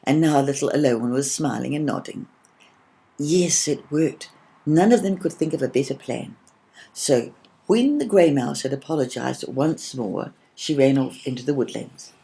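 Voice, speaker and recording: Female storybook reader: low-pitched, soft dark tone, creaky edges, expressive delivery of a scripted children's tale. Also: normal speed. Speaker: audiobook reader.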